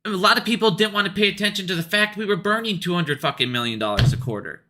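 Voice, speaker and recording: A man with a very bright, hard-edged voice that sits a bit high. He talks off the cuff about money and business in a blunt, emphatic way, swearing freely and hammering his points by restating them. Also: expressive, fast talker. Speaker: retail investor commentator. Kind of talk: casual opinionated monologue about business.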